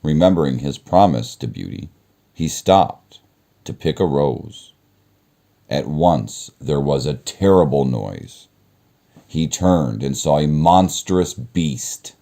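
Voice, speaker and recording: Very deep, slow storybook narrator man. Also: gravelly. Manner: measured, expressive storytelling. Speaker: storybook reader. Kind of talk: children's read-aloud narration.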